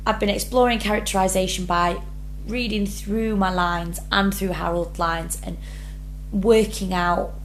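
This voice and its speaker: A woman's voice: medium pitch, fairly even intonation, a little slow, slight background noise, poor audio. A young woman drama student, talking earnestly through her rehearsal blog and reading each question aloud before answering. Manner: earnest, many ums, reads prompts then answers.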